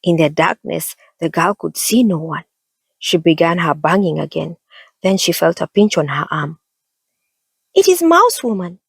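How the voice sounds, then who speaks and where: A woman reading a children's story aloud as an expressive storyteller. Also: sits low, normal speed, creaky, breathy edge, dry recording.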